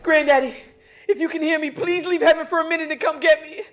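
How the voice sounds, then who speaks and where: A frightened little boy of six who begs through tears, as a woman acts him out, with a high, thin voice. He pleads in short, broken phrases. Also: fairly even intonation, fast, fairly bright tone. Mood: pleading. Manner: pleading, tearful. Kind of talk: stage play acting.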